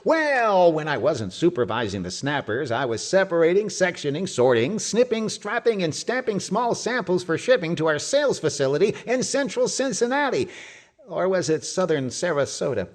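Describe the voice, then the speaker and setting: A man's voice: sits high, big pitch swings, normal speed. A man playing a blustery, boastful husband who spins tall tales in old-time radio comedy style. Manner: blustery, wisecracking, alliterative patter.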